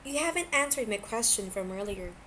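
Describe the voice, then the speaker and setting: A woman voicing a gracious, curious young man with a gentle, questioning manner in an amateur story reading. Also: medium pitch, lively intonation, fairly quick, natural voice. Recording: clearly noisy amateur home recording.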